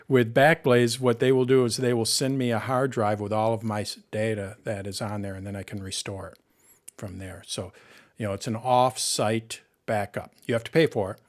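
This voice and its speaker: A man with a Michigan accent hosting a live tech question session, explaining at ease with everyday examples and frequent pauses. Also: medium pitch. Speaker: host answering tech questions. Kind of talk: live Q&A chat.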